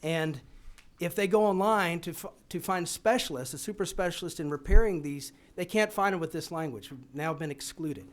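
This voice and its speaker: A man's voice: a little high, normal speed. Hesitant male physician testifying. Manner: hesitant, many fillers and restarts. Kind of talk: committee testimony. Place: legislative committee room.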